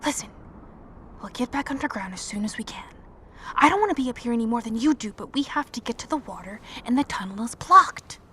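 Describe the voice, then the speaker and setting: Casting: combat-trained woman leading survivors, firm and terse, slightly high voice, faint ambience behind, audio drama. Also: lively intonation, a little fast, pushed and bright, slight background noise. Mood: firm, practical.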